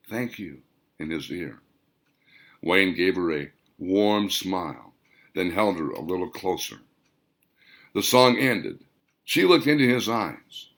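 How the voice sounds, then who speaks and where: A man reading his own novel as an audiobook, with dramatic, expressive storytelling. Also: low-pitched, creaky. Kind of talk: scripted audiobook narration.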